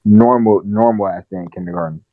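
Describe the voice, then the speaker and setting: A young man telling a casual story to friends in an animated, slangy, profane way, acting out the dialogue. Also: lowish voice, a little fast, phone-quality sound. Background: video game music.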